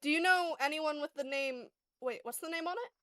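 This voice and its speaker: A woman with a high voice talks casually as a player in a tabletop game. She feels her way through her character's words and actions, in a dry recording without room sound. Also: fairly quick, a bit bright, no echo. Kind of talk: casual improvised role-play chat.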